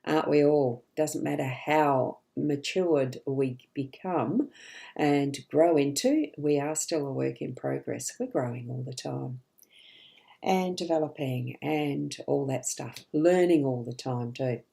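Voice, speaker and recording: A middle-aged woman with a rough morning voice, chatting casually as she hosts a live card-reading stream and greets viewers. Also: extremely low pitch, slow, mellow. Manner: chatty, greets viewers by name, frequent ums and restarts. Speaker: psychic card reader hosting a live stream.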